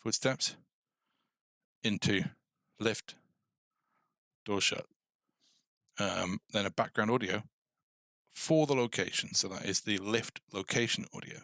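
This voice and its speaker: A man's voice: on the low side, slow pace, gravelly, long pauses, a bit bright, dry recording, studio quality. A man casually thinking aloud as he hosts a game coding tutorial livestream. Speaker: game development tutorial host.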